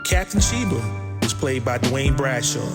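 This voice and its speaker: A man's voice: mid-range voice, animated, a little slow. A man reading scripted closing credits as an announcer. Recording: loud hip hop music underneath. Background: hip hop music.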